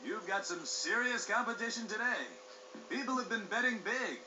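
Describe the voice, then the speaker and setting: Actor as a high, flamboyant race show host. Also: male voice, normal speed, heavy background noise, close to an everyday voice. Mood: smug, teasing. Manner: showy, hyped. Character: flamboyant, smug male racing show host. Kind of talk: over-the-top sports broadcast commentary. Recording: dull audio under music.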